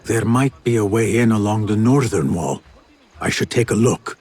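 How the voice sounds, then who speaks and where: An actor playing a young assassin hero in a video game, speaking in a calm, formal and determined way. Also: male voice, on the low side, normal speed, slightly rough. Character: male assassin hero, young adult, resolute. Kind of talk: video game cutscene acting. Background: game music score.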